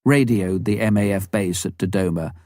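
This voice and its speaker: A man reading a missionary story as an audiobook narrator, with a measured storytelling delivery. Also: low voice, warm tone, no room sound, clean studio sound.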